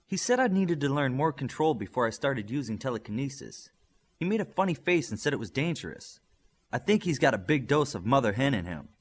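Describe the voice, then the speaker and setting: A man's voice: mid-range voice, sing-song, a little fast, husky. A man voicing a curious, hesitant boy with powers in a superhero audiobook. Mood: puzzled. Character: unsure, curious young boy telekinetic superhero.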